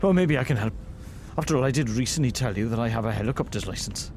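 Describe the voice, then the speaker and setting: A man's voice: medium pitch, fast, darkish tone, slight background noise, lightly acted. A man playing an earnest, easily alarmed detective sidekick who stammers, in a comic audio drama. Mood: hesitant. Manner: stammering, flustered.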